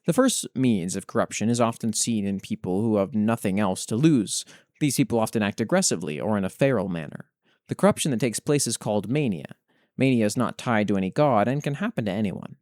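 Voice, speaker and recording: Male show host, lowish pitch, dry studio-like sound, reader and casual commentator. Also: animated, brisk, darkish tone, no echo. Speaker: host reading and reviewing listeners' fantasy writing. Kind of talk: scripted reading with casual commentary.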